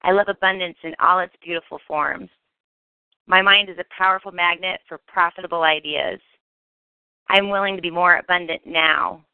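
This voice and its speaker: Female meditation narrator, smooth and clean-voiced, delivering affirmations in deliberate phrases; thin, narrow-band audio. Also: on the low side, fairly even intonation, dry recording. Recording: tinny phone-like narrow band, dry.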